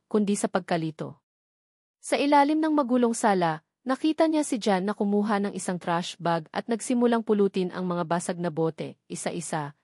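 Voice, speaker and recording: A woman's voice: mid-range voice, mellow, dry recording, clean studio sound. A woman storyteller giving scripted, dramatic audiobook narration.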